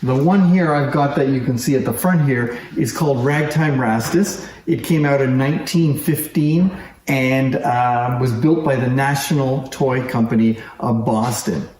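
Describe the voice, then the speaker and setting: A man with a relaxed, dark-toned voice presents in an informal, unscripted way, showing things hands-on with clear interest. The room adds noticeable echo, and a faint noise sits behind his voice. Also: mid-range voice, a little slow. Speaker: presenter demonstrating antique phonograph toys. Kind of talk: casual hands-on show-and-tell.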